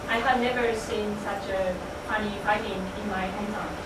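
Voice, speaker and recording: A young woman speaking in a rehearsed classroom role play, haltingly and repeating phrases. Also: mid-range voice, steady tone. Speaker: student presenter in role play. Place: indoor room, recorded at a distance. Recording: distant mic, echoey room, loud noise.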